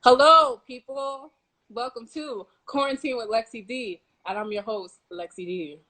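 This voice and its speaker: A woman's voice: fairly high pitch, unhurried. A young woman, hosting a casual interview chat. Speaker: podcast host. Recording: noisy home recording with clicks.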